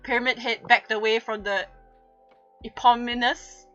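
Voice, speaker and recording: A woman's voice: on the high side, fairly even intonation, moderate pace, bright, forceful tone, muffled recording, faint music behind. A woman reading fan fiction aloud with comic drama and casual chat, giggling and stumbling over her words. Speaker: streamer hosting a fanfic reading.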